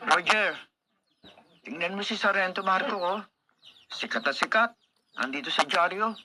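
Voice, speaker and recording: A man playing a gossipy but honest police officer, chatty and excitable, with a Filipino accent in a radio drama. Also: high-pitched, normal speed, background noise. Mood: gossipy, relieved. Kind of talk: Philippine radio drama acting. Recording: crowd chatter and clucking behind.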